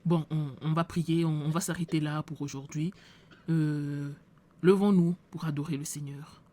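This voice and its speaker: A woman playing a preacher who leads worship and prays fervently, often in a hushed voice and with hesitant fillers. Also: low voice, steady tone, moderate pace. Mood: leading worship. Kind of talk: church skit, preaching and prayer.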